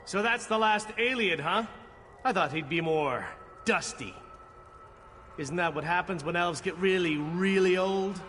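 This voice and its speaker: A man's voice: high voice, unhurried, fairly bright tone. A man playing a cocky, sardonic knight in a video game, with a flippant, teasing, wry way of talking. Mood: mocking. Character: cocky sardonic knight, male adventurer, jaded but charming. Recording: long hall reverb, music behind.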